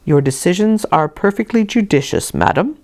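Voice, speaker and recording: A woman acts out a stern, pious clergyman of middle age from a classic storybook. The delivery is pompous and moralizing, with wide swings in pitch on a fairly low base. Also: lively intonation, darkish tone. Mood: sanctimonious. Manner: pompous, sanctimonious, slow deliberate moralizing. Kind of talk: dramatized audiobook reading.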